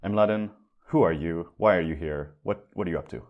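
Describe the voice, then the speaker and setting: A young man hosts a podcast in a casual, upbeat way, loose with his words and now and then swearing. His voice sits very low. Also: fairly quick, highs cut off. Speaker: podcast host.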